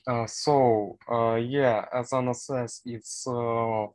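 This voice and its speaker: A young man with a Ukrainian accent, giving unscripted interview answers as a student, haltingly searching for words in a language that is not his first. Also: mid-range voice, fairly even intonation, a little mellow. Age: young adult. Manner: halting, searching for words in non-native English. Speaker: university student, interview guest.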